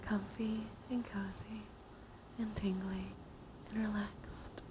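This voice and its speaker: A woman leading a guided ASMR relaxation, speaking softly and mostly in whispers, with a soothing, gentle manner. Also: medium pitch, level tone, takes it slowly. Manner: soft, mostly whispered delivery. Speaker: live streamer leading relaxation exercises. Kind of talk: ASMR guided breathing and body scan with chat asides. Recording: clear background noise.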